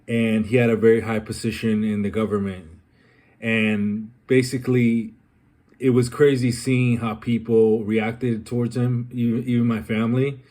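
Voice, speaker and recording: A young man from Colombia talking through a personal, reflective vlog, pausing often with ums and uhs. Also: lowish voice, warm tone. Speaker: vlogger.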